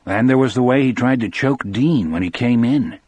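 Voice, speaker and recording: A man with a husky voice reading scripted first-person audiobook narration. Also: medium pitch, lively intonation, moderate pace, a little mellow, no room sound.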